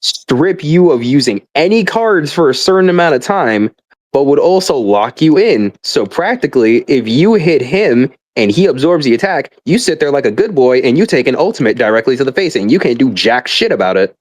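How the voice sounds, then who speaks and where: Young male, mid-pitched, dry close sound. Casual exasperated ranter: sweary, hyperbolic, stumbles over repeated starts. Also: big pitch swings, normal speed, dry recording. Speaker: gamer. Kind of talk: casual rambling rant.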